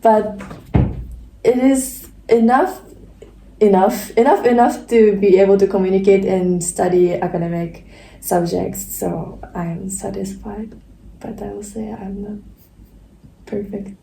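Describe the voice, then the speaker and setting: Slow soft-spoken young japanese woman, mellow voice, roomy echo. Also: medium pitch, steady tone, creaky, far from the mic, noisy background. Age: 20s. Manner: unhurried, soft, trailing off. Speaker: podcast co-host. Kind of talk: casual chat. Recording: echoey room, faint ambience.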